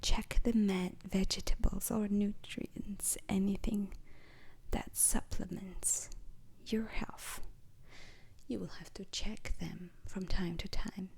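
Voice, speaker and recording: Very slow whispering woman, ASMR instructor. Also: medium pitch, fairly even intonation, darkish tone. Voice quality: breathy whisper with crackle. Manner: slow, deliberate, pausing between short phrases. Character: alien space-mission instructor, calm and methodical. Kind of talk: whispered ASMR roleplay lecture.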